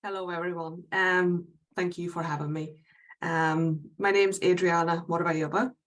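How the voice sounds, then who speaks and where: Woman late 30s, slovak hungarian northern irish accent mix, calm measured guest on a webinar, lowish voice. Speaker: diversity specialist, panel guest. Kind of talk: online panel interview.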